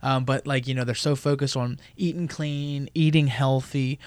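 A man explains his points as a podcast co-host in a loose, conversational way. He often throws in "dude" and "you know," and his words come out in quick bursts. His voice sits in the middle of the male range and sounds dry and close on the mic. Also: mid-range voice, flat delivery, dry recording.

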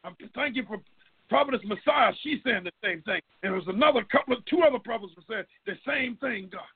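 A man's voice: very high-pitched, lively intonation, a little fast, slightly rough. A middle-aged man prays aloud fervently and off the cuff, pleading and repeatedly calling on God. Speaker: person leading group prayer. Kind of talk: fervent extemporaneous prayer. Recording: tinny, phone-line-like audio.

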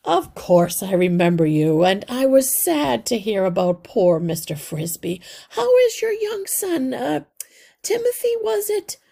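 Woman voicing a wise old mouse healer, slightly husky. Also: on the high side, sing-song, dark tone, pitched above the performer's own voice, heavily acted. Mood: kindly, concerned. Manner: measured, authoritative, kindly. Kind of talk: children's storybook read-aloud.

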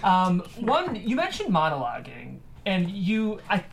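A woman's voice: fairly low pitch, huge pitch swings, unhurried, creaky. A woman hosting a show, interviewing a guest in a conversational style. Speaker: podcast host. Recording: faint background noise.

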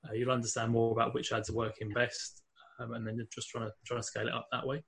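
Man, London accent, podcast guest, rapid, monotone, hesitant. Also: mid-range voice, gravelly. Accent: London English. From England. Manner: fast, hesitant, many fillers and restarts. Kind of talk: casual interview talk.

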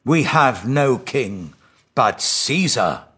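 A man voicing hostile, insistent priests and officials in a dramatised scripture audiobook, loud, drawn-out and demanding. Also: mid-range voice, lively intonation, slightly rough, pitched above the performer's own voice, heavily acted. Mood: accusing, insistent.

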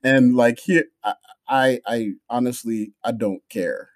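A man's voice: medium pitch, measured pace, slight background noise. A man with a Jamaican accent co-hosting a football podcast, talking in a heated, indignant way with many restarts. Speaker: podcast co-host. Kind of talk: casual football podcast chat.

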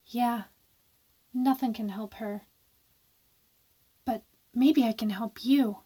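A woman's voice: fairly high pitch, steady tone, slow, mellow, breathy edge. A woman playing a guilt-ridden, defiant young woman in a horror radio drama, with an emphatic, theatrical delivery. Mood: anguished guilt. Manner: theatrical, emphatic, repeats phrases.

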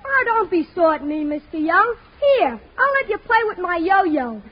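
Cheeky radio-comedy boy, played by a woman, tiny high voice, deadpan mischief, dull hissy vintage recording. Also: normal speed. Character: cheeky little boy pestering a grown-up. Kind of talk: 1940s radio comedy acting.

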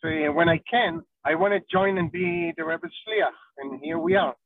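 Israeli rabbi guest, slow and halting, high voice, thin phone-line audio. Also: male voice, no echo. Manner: slow and halting, many ums and uhs. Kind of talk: podcast interview.

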